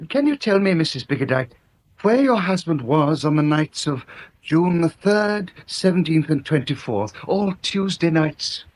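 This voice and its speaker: English coroner for radio crime drama: fairly high male voice, official, methodical, precisely worded. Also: sing-song, a little slow. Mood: formal, probing. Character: formal, methodical coroner questioning and summing up.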